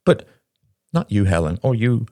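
A man voicing a bitter, ashamed recovering addict, a self-pitying younger brother who talks haltingly in an audiobook story. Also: on the low side, very animated, warm tone, no room sound, clean studio sound, voice pushed up. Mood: bitter, wounded. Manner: mumbling, halting, stammering, then bursting out.

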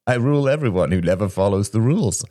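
A man voicing a bitter, ashamed recovering addict, a self-pitying younger brother who talks haltingly in an audiobook story. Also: lowish voice, expressive, dark tone, no room sound, clean studio sound, voice pushed up, lightly acted. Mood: bitter, agitated. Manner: mumbling, halting, stammering, then bursting out.

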